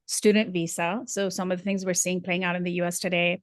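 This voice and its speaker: A woman's voice: lowish voice, flat delivery, brisk, no echo, clean studio sound. A woman raised in Dubai, talking earnestly as a show's senior correspondent, thoughtful, with many fillers and restarts. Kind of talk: conversational commentary.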